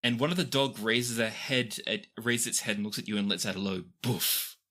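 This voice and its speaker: A game master narrating a tabletop roleplaying game off the cuff, describing scenes expressively with pauses. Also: mid-range voice, pushed and bright.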